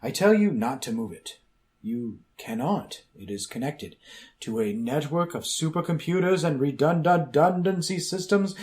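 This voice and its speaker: A man's voice: fairly high pitch, a little mellow, close to an everyday voice. A man playing an omnipotent, quirky supercomputer in a comic radio drama, speaking in pompous, convoluted and oddly formal sentences.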